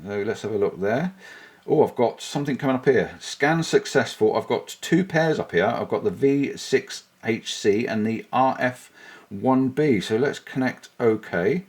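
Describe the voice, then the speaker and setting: A man's voice: medium pitch, expressive, moderate pace, breathy edge. A man casually demoing and testing gear for his vlog, thinking aloud as he goes. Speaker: vlogger reviewing gear. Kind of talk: casual product demo. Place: outdoors on a bike, then indoors.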